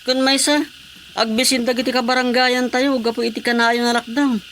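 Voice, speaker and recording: A man's voice: very high-pitched, level tone, normal speed, bright, forceful tone, a little hiss or hum, heavily acted. A man playing a hapless village councilor on patrol in a comic radio drama, hesitant and full of excuses. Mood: puzzled, resigned.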